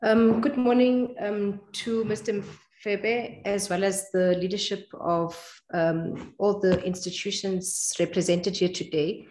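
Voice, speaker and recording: A woman with a South African accent, giving formal, measured panel remarks over a video call. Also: mid-range voice, steady tone, darkish tone, reverberant, poor audio.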